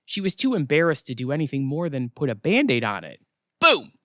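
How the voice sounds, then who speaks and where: Man acting a flippant, animated afterlife attendant. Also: on the high side, fairly quick, no echo, studio quality, exaggerated voice. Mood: joking. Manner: flippant, chatty, jokey. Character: breezy, wisecracking male afterlife check-in attendant. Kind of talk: audio theatre comedy acting.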